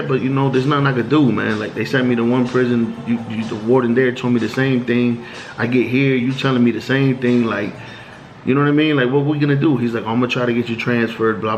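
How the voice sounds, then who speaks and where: A man's voice: lowish voice, rough recording. Laid-back New man telling stories. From New York. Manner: laid-back street slang, acts out quoted dialogue. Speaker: channel host telling prison stories. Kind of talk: casual storytelling monologue. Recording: music playing under the voice.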